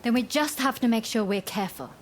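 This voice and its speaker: A woman's voice: on the high side, animated, brisk, a little hiss or hum, close to an everyday voice. A woman voicing a compassionate, resolute doctor in a video game, earnest and polite.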